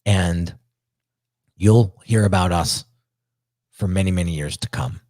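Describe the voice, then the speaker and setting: A middle-aged man with a strong New Jersey accent talks in a deep, low register, hosting a podcast conversation. He tells stories with lively energy, doubling words and restarting sentences. The sound is close and dry, with no room around it. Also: measured pace, no room sound. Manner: animated storyteller, repeats words, many restarts. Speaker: podcast host. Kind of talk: casual interview chat.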